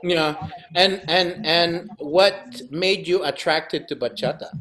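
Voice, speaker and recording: Brief: male podcast host, fairly high voice, restarts his questions a lot. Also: steady tone, slow pace, fairly bright tone. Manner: stammering questions, frequent fillers. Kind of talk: casual interview.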